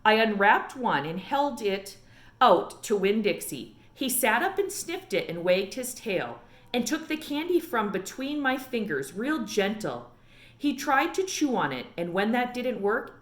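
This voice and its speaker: A woman reading a children's book aloud in an expressive storytelling style. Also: a little high, unhurried, reverberant. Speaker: read-aloud reader.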